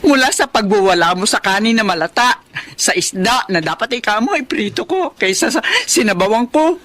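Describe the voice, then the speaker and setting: An actress playing a wounded, long-suffering wife in a Filipino radio drama, proud, emotional and accusing. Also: female voice, a little high, animated, a little fast, fairly bright tone, dry recording. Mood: hurt, reproachful.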